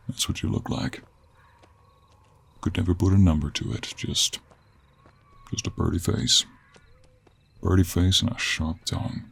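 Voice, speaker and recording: A man playing a gruff, gentlemanly cowboy monster hunter in an intimate audio roleplay, with an American Western accent, a gravelly, husky voice and a slow, courteous drawl. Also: low-pitched, full character voice. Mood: playful, fond. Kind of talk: intimate one-sided audio roleplay acting.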